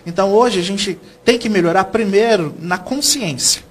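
A man's voice: high voice, normal speed. A Brazilian man from São Paulo speaking as a guest educator in a TV interview, explaining earnestly and often posing questions he then answers. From São Paulo state, Brazil.

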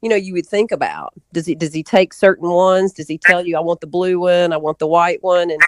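A woman's voice: lowish voice, brisk, no echo. A woman telling stories as an interview guest in a casual, rambling way, often doubling back mid-sentence. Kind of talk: casual interview storytelling, reminiscing.

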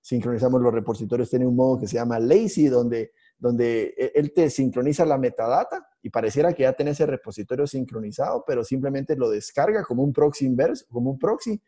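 A man co-hosting a podcast, telling stories in a halting way with many restarts and fillers. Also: medium pitch, mellow. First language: Spanish. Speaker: podcast co-host, IT instructor. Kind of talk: casual podcast conversation.

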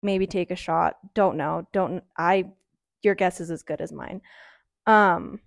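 A woman's voice: on the low side, measured pace, creaky, no echo, studio quality. A woman in her early twenties talking casually and frankly on her own, rambling with lots of "um" and "like.". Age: early 20s. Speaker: podcaster. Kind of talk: casual solo chat.

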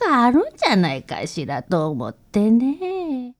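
A woman's voice: on the high side, huge pitch swings, measured pace. A woman acting an anxious, doting old grandmother with a Kansai accent in a radio drama. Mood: worried, tearful. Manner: plaintive, hesitant.

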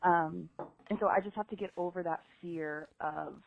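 Woman caller, hesitant, lots of ums, tinny phone line, lowish voice. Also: fairly even intonation, normal speed, vocal fry, a little hiss or hum. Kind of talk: voicemail message.

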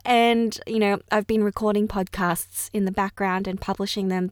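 A woman's voice: medium pitch, no echo, studio quality. A young woman hosting her own podcast, talking candidly and reflectively about growing up. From Australia. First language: Cantonese or Chinese. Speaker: podcast host. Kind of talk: casual personal podcast monologue.

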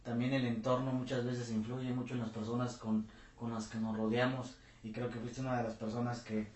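A man hosting a casual interview, speaking warmly and haltingly with many fillers. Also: mid-range voice, flat delivery. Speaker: interview host, channel host. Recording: roomy echo.

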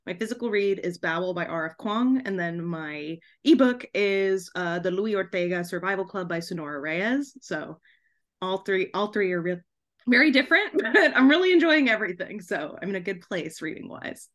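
A woman's voice: mid-range voice, animated, normal speed, a bit bright. A woman chatting casually as a podcast guest, bubbly and effusive in the way she talks. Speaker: podcast guest, debut author. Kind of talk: casual chat.

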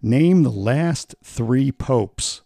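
A man's voice: mid-range voice, expressive, drawn-out words, creaky, mellow, no echo, studio quality. A man co-hosting a podcast, teasing and asking quiz questions in casual chat. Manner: joking, teasing, laughs often. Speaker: podcast co-host.

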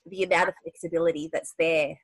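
A woman's voice: sits low, flat delivery, fast talker, husky, no room sound. A woman hosting a podcast, talking casually with a stuffy, nasal head cold, full of fillers and restarts. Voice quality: nasal, congested. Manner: chatty, with frequent fillers and restarts. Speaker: podcast host. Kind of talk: casual interview chat.

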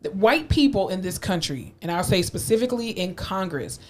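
A woman's voice: medium pitch, animated, a little fast, a little mellow. A woman co-hosting a casual podcast discussion, emphatic and opinionated, building to impassioned appeals. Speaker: podcast co-host.

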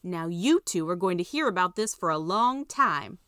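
A woman's voice: lowish voice, expressive, normal speed, fairly bright tone, clear, resonant tone, no room sound, clean studio sound. A woman voicing a stern, protective mother in a children's audiobook, firm and scolding.

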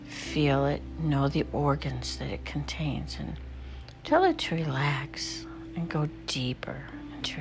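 A woman with a low, soft voice leads a guided meditation, often dropping to a whisper. She speaks slowly and soothingly, with music playing clearly underneath. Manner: slow, soothing, unhurried prompts.

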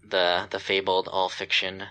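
A man from the US East Coast co-hosting a podcast in relaxed, casual conversation. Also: deep voice, a little slow, clean tone. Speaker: podcast co-host. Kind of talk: casual chat.

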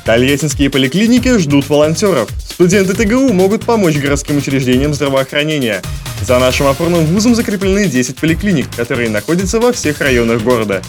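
A man's voice: a little high, expressive, fast talker, faint music behind. A man presenting news, reading a scripted bulletin on the radio.

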